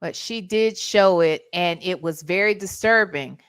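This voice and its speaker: A woman's voice: on the low side, unhurried, smooth. A woman hosting a livestream, giving casual, slangy, blunt commentary and reading out chat messages. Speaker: livestream host.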